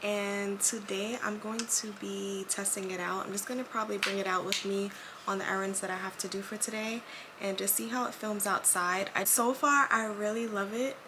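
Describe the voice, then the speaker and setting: A young woman with a raspy voice, chatting casually to her camera while vlogging, thinking aloud and poking fun at herself. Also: medium pitch, steady tone, normal speed. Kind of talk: casual vlog talk to camera. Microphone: handheld pocket camera mic. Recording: noisy handheld camera audio.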